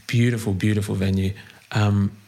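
A man interviewing a musician he has long been a fan of, speaking haltingly with many ums. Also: lowish voice, flat delivery, dark tone. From Australia. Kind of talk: interview questions.

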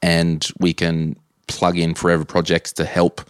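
A man's voice: low voice, monotone, measured pace, smooth, no room sound, studio quality. An Australian man hosting a podcast, chatting casually and thinking aloud in a rambling, tentative way. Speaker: podcast host. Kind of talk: casual interview chat.

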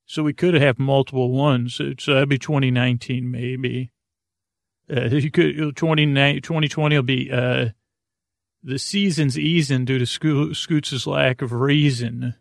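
Male sleep-podcast host, creaky low-key voice, dry close sound, meandering and self-mocking. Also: steady tone, measured pace, dry recording. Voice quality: soft creaky tones. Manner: rambling, easily sidetracked, self-mocking. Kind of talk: rambling bedtime podcast talk.

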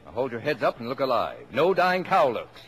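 An actor playing a brisk, proud, bossy middle-aged father with pompous, formal phrasing in a 1950s radio play. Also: mid-range voice, huge pitch swings, a bit bright. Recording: noisy vintage 1950s radio recording.

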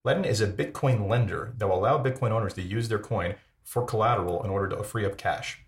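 A man hosting a podcast, reading a brisk, businesslike intro before an interview. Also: fairly low pitch, creaky, echoey room. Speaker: podcast host.

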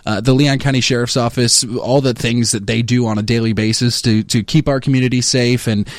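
A young man in his twenties talks through his points in an earnest, halting way, often restarting his sentences. His voice sits at an ordinary middle pitch, and the recording is dry and close to the mic. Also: fairly even intonation, no room sound. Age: 20s. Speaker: talk show co-host. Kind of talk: casual conversation on a talk show.

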